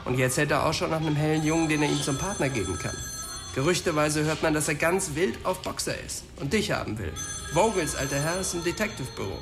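An actor playing a chatty, blunt police colleague passing on gossip in a crime radio drama. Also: male voice, fairly high pitch, expressive, quick pace, music in the background. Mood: gossipy, frank.

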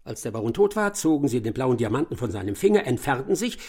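A pompous, animated master detective. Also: male voice, medium pitch, fast talker, breathy edge. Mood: accusing, insistent. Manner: formal, pompous, emphatic. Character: self-assured English master detective, pressing, triumphant, pompous. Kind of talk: radio drama acting.

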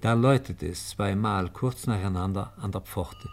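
Low-voiced man narrating a radio play. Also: vocal fry, a little hiss or hum. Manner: solemn, measured storytelling.

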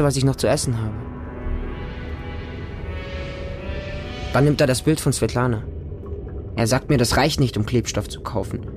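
A man's voice: medium pitch, noisy background, natural voice. A man playing a lonely, naive small homeless boy who narrates his life in a radio drama in short, plain sentences. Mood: subdued, wary.

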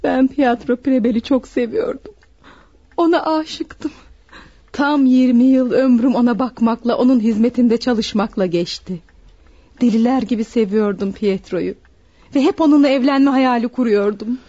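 Mellow, lovelorn, desperate confessed murderess. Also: female voice, on the high side, dry recording. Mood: anguished confession. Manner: deliberate, dramatic confessing delivery. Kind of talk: radio play acting. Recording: dull, dry radio recording.

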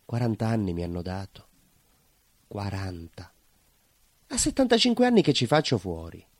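A jailed baker in a stage monologue, with a lowish voice and a Calabrian southern Italian accent. He is bitter and haunted, and speaks in short, insistent, repeated phrases. The sound is dry and close. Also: wildly swooping pitch, moderate pace, no echo. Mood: despairing, wistful. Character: imprisoned Calabrian-emigrant baker, bitter, lovelorn, haunted, simmering with rage. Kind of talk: theatrical dramatic monologue.

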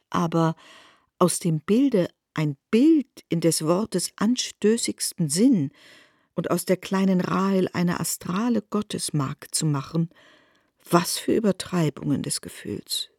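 A woman narrating a literary radio drama as a knowing storyteller, in a measured, articulate manner. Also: fairly low pitch, dark tone, husky, no echo, clean studio sound, heavily acted. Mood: gently reproving.

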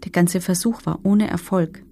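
A woman playing a former camp prisoner who gives sober, measured testimony in a documentary radio drama. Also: lowish voice, steady tone, dark tone, a little hiss or hum, natural voice. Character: former female concentration camp prisoner giving grim testimony.

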